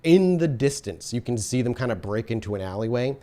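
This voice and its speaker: Man narrating a dark tabletop scene. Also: medium pitch, animated, a little fast. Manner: vivid scene narration mixed with patient rules explanations. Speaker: storyteller, game master. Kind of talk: narrating a tabletop horror game.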